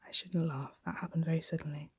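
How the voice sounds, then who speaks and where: Breathy whispering woman reading a bedtime story. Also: low-pitched, fairly even intonation. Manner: slow, gentle, hushed. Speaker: ASMR storytelling host. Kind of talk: soft-spoken ASMR bedtime story reading.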